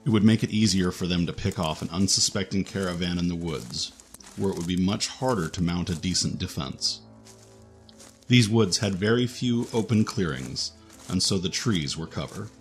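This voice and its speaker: Deep-voiced man narrating fantasy fiction. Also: moderate pace, faint music behind. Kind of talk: scripted fantasy story narration.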